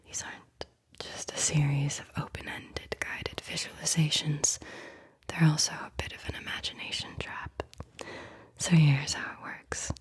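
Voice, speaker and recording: ASMR host: woman, low breathy whisper, playful and teasing, close mic. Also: flat delivery, measured pace. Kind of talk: whispered ASMR guided visualization.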